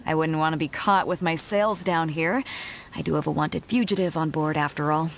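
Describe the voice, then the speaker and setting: A woman's voice: sits low, normal speed, slight background noise. An actress playing a bold, confident female ship captain in a video game dub, with a commanding manner. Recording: game dub with faint music.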